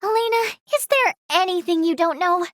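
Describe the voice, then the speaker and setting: A woman playing an eager, kind-hearted young female healer in a fantasy video game, earnest, expressive and girlish in manner. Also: extremely high pitch, moderate pace, no room sound, studio quality. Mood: impressed. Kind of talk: video game character acting.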